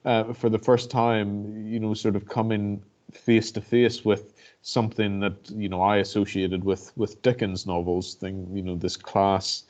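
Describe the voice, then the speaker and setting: A man's voice: fairly low pitch, level tone, measured pace, darkish tone. A man with a Northern Irish accent who speaks reflectively on a video call, hesitating and restarting his sentences often. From Northern Ireland. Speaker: barrister and guest speaker. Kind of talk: personal talk to an online audience.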